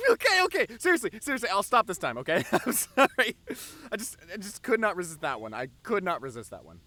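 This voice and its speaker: Man playing a goofy nerdy boyfriend, animated voice. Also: high voice, a little fast, pitched above the performer's own voice, heavily acted. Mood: playful teasing. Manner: teasing, stammering when flustered. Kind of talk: intimate boyfriend audio roleplay.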